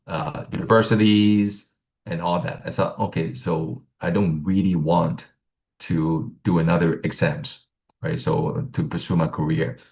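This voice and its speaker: A middle-aged man from Hong Kong explains career options like a relaxed instructor, with a very low, gravelly voice. He rambles and keeps checking in with "right?" as he goes. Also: measured pace. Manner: rambling, many fillers and "right?" tags. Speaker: accounting exam instructor. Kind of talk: casual teaching talk.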